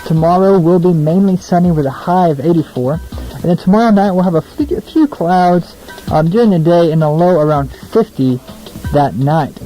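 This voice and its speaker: Young American male, radio DJ, casual song links, self-deprecating, mellow tone, music in the audio. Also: on the high side, poor audio. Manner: chatty, informal, self-deprecating. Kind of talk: casual radio show links between songs. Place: small radio studio, alone.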